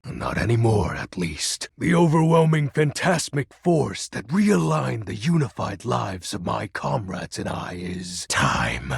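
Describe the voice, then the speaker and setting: An actor playing a grandiose, brooding shadow lord in a video game, speaking in a pompous, archaic, melodramatic way with a gravelly, husky voice. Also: male voice, mid-range voice, no room sound, studio quality, exaggerated voice. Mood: grandly nostalgic. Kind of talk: theatrical video game character acting.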